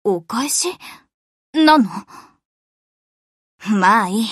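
A woman voicing a gruff, commanding young female warship girl in an anime game, with curt, rough lines in a lowered voice. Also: lively intonation, extremely slow, no echo, studio quality, heavily acted.

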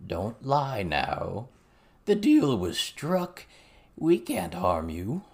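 A man voicing a small male goblin in a storybook, with a froglike croak and slangy mock-aristocratic airs. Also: mid-range voice, wildly swooping pitch, pitched above the performer's own voice, full character voice. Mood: cautioning, smug. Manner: slow, drawn-out delivery with pompous slang.

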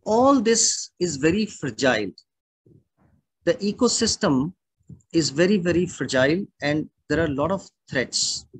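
A middle-aged man with an Indian accent, a naturalist giving a measured, explanatory talk over a video call. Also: a little high, lively intonation, breathy edge, no room sound. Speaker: naturalist and birding guide. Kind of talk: online illustrated talk. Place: indoor room at home or office, on a video call. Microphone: video call microphone.

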